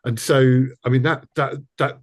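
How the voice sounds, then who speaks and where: A man's voice: medium pitch, fairly even intonation, normal speed. A man hosting a casual interview in a hesitant, wry conversational manner. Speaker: interviewer, audio drama producer.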